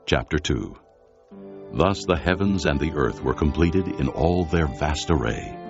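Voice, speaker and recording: A man with a gravelly bass voice giving solemn, measured narration of dramatized scripture. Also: animated. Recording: dull lo-fi audio with music behind.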